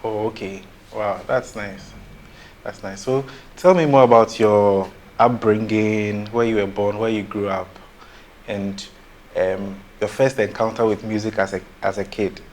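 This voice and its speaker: A man hosts a relaxed interview in a low voice. He asks his questions plainly and keeps encouraging his guest, often with an approving wow. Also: a little slow, a little hiss or hum. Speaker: interviewer host. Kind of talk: casual interview chat.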